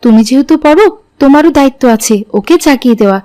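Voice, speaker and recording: A woman playing a devout, gentle mother in an Islamic cartoon, speaking in a measured, instructive way. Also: high-pitched, lively intonation. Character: devout, gentle mother guiding her children.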